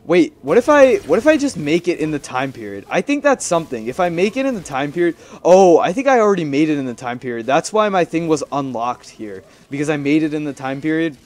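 A man's voice: fairly high pitch, sing-song, a little fast, hardly any pauses, a little mellow. A young man giving casual, rambling gameplay commentary, chatty and playfully repeating his phrases. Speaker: gaming video host.

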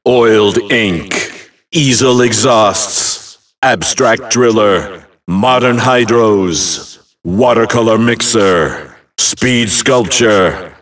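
An actor playing a theatrical video game battle announcer, calling out short phrases with deliberate emphasis. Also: male voice, fairly low pitch, fairly bright tone, recorded from a distance. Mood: showy, emphatic. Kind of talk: announcing short names and match calls.

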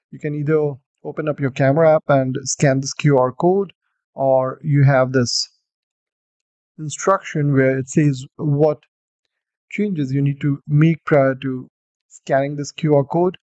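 A man's voice: fairly high pitch, no echo, dull audio. A man giving a screen-recorded coding tutorial as the instructor, explaining each step steadily with the odd "uh". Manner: steady explanatory walkthrough with fillers.

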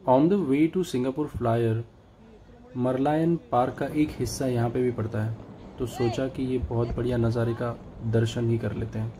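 A man narrating his travel vlog in a calm tour-guide manner, mixing in English phrases. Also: medium pitch, moderate pace, noisy background. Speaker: travel vlogger. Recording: voice over loud music.

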